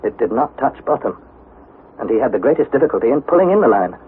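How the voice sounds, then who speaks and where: A man's voice: mid-range voice, big pitch swings, fast, natural voice. An actor playing a fearful young male explorer, narrating his expedition journal dramatically in a 1960s radio drama. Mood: awed. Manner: dramatic, suspenseful, articulate delivery. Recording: tinny, hissy vintage 1960s radio recording.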